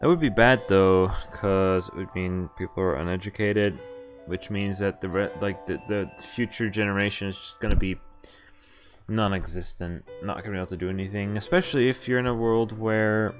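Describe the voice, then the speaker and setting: A man's voice: on the low side, moderate pace. A man streaming a visual novel, reading the dialogue aloud and commenting casually in a dry, sardonic way. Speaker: game streamer. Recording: game music clearly behind voice. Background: game music.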